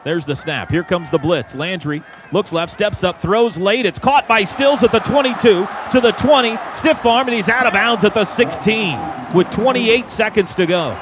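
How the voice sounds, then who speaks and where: Male American radio play-by-play caller, higher voice, clipped football calls, shouts on big plays, dull sound over loud stadium noise. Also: moderate pace, hardly any pauses. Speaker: play-by-play announcer.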